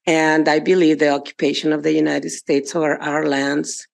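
A woman from Mexico introducing herself on a panel, reflective and halting, speaking into a table microphone in a large hearing room. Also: sits low, little pitch movement, vocal fry.

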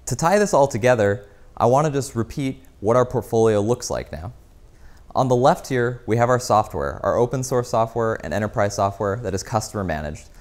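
A man's voice: mid-range voice, animated, brisk. A man in his thirties presenting a product keynote in an explanatory, emphatic way, recorded on a lapel mic. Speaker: company executive, keynote presenter. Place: indoor office lounge.

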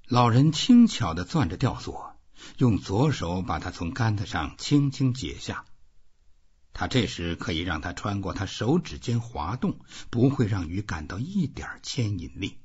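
Husky, low-voiced male audiobook narrator. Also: very animated, measured pace, a little mellow, lo-fi sound. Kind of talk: scripted literary narration of a novel.

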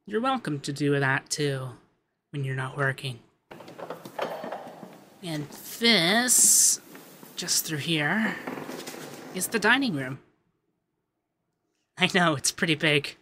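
Man acting as young rich femboy, ASMR roleplay, light gentle pitch, playful, affectionate, unhurried, background hiss. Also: lively intonation, exaggerated voice. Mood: friendly. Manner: soft-spoken, giggly, affectionate, hesitant fillers.

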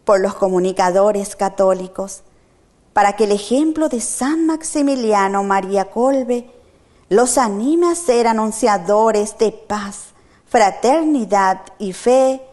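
A middle-aged woman with a Paraguayan Spanish accent, reading the prayers of the faithful in a slow, measured way. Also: medium pitch, a little mellow. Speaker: reader of prayers. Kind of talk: reading intercessions at Mass. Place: small chapel, indoors.

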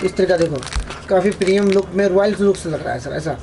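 Eager fast-talking Hindi market vlogger. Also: male voice, high-pitched, gravelly. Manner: quick, eager, repeats and sums up answers. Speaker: vlogger touring a wholesale shop. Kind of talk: casual market vlog, asking prices. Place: crowded gift shop indoors. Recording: noisy shop background.

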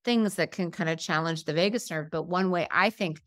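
A woman's voice: fairly low pitch, fairly quick, fairly bright tone, dry recording, clean studio sound. A middle-aged woman hosting an interview over a video call, speaking in an informal, conversational way. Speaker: interview host. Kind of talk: casual chat. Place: room at home, video call.

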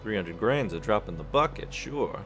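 A man acts out a shady middle-aged loan shark from a crime video game. His low voice runs through smooth, worldly patter, always selling something. Music plays behind him. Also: animated, normal speed, a bit bright. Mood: worldly, lecturing. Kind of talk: fan dub video game acting.

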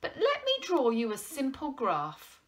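An excitable male shopkeeper from a comic children's storybook, acted by a woman. He is earnest, quick to take offence yet friendly, with emphatic, bright, strongly swooping speech. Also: high voice, voice pushed up, heavily acted. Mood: grave. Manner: exclaiming, emphatic. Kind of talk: audiobook character voice for a children's comedy.

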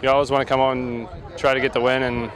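A man's voice: medium pitch, little pitch movement, fast talker, fairly bright tone, rough recording. A young man, a college football player, giving easygoing short postgame answers outdoors on a field. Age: young adult.

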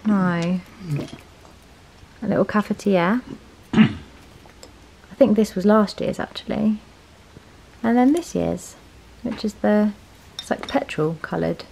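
A woman's voice: mid-range voice, takes it slowly, long pauses, a little mellow, low-quality recording. An English woman in her thirties chatting casually and informally to a vlog camera. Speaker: vlogger. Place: parked car, later indoor room at home. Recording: clear background noise of car and room.

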